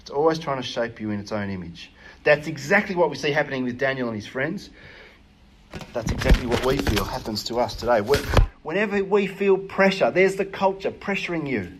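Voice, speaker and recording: Male preacher, earnest and emphatic, hesitant fillers, mid-pitched voice. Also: expressive, a little fast, background noise. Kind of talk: sermon.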